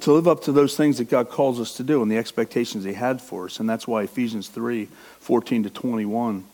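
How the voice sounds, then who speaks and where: A man's voice: mid-range voice, a little fast, darkish tone. A middle-aged man preaching a sermon with passion, acting out the inner voice of a struggling believer. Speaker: pastor.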